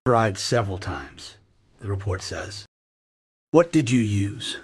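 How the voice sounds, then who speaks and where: A man playing a measured, factual investigator in a crime radio play. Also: on the low side, very animated, breathy edge, heavily acted. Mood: sober, pressing. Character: matter-of-fact investigator laying out evidence.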